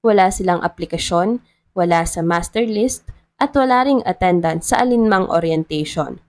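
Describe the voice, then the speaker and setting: A woman with a Filipino accent voicing a strict, principled female town treasurer in a story, calm, formal and resolute. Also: lowish voice, moderate pace. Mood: firm.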